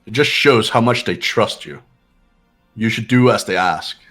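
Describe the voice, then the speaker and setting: Man as low-voiced, haughty, commanding young man. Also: animated, unhurried, a bit bright, faint music behind. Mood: cool, commanding. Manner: condescending, formal. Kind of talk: voiced visual novel game playthrough.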